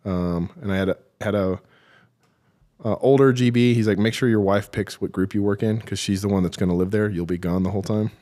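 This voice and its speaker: A middle-aged man with a low voice recounts his military career as an interview guest. He speaks with dry, self-deprecating humor and pauses often with fillers as he collects his thoughts. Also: fairly even intonation, warm tone. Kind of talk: relaxed interview storytelling.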